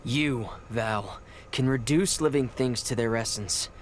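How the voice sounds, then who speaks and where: An actor playing a driven, scheming ritual caster in a fantasy video game, with a measured, assured, explanatory delivery. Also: male voice, medium pitch, slight background noise, natural voice. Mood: coldly revealing. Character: driven, scheming adult male ritual caster, outwardly composed. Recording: music under the voice. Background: game music.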